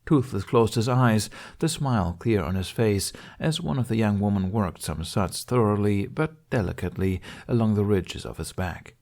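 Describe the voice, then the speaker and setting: Guy narrating a fantasy audiobook, fairly low voice with lots of gravelly fry. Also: expressive, moderate pace, few pauses, darkish tone. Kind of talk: scripted fantasy fiction narration.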